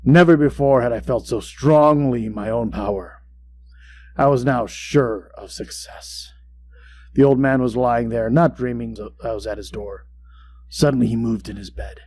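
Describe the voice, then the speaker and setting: Over a humming, noisy recording, a mad murderer played by a man tells his gothic horror story in a mid-pitched voice. He is tense, obsessive and boastful, speaking with theatrical deliberation and swinging between hushed menace and outburst. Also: a little slow, close to an everyday voice. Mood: sly, stealthy. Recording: clear background hum and noise.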